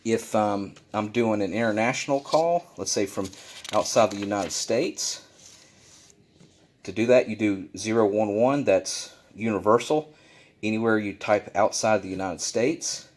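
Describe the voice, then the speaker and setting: A man's voice: fairly low pitch, unhurried, darkish tone. A man presenting a casual how-to demonstration indoors, explaining things plainly and repeating himself for clarity. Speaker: instructor, video presenter. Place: indoor room at a desk.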